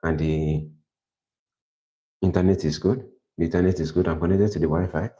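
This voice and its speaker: A man with a very low, deep voice and a mellow, dark tone thinks out loud on a livestream. He rambles and keeps restating himself. The audio is dull and lo-fi, as from a livestream. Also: steady tone, normal speed. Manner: rambling, self-correcting, plans out loud. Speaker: livestream host. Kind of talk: casual thinking aloud. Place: quiet indoor room.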